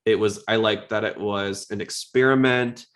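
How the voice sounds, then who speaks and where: A man's voice: on the low side, steady tone, moderate pace, a bit bright, soft background music. A man hosting a casual fan podcast, chatty and full of opinions. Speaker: podcast host.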